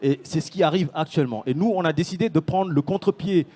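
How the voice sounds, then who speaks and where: A man's voice: fairly high pitch, fast, warm tone. A man from Senegal, a startup founder, speaking on a conference panel with many fillers and restarts. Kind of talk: panel talk.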